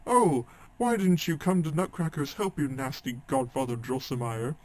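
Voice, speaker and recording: A man voicing a little girl in a storybook, crying out in a high, loud, pleading voice. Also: fairly even intonation, fairly quick, lightly acted. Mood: accusing. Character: little girl, upset and accusing.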